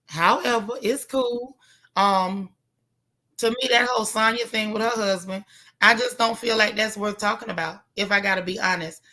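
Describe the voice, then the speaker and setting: Hoarse, gravelly woman livestream host. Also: medium pitch. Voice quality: loud, gravelly voice. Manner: chatty, greets viewers by name, folksy slang. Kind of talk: casual live recap chat.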